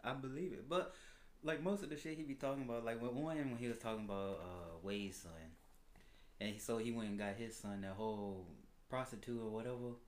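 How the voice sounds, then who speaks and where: A man as a podcast guest chatting casually, relaxed and hesitant, with many fillers and laughs. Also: medium pitch, a little fast.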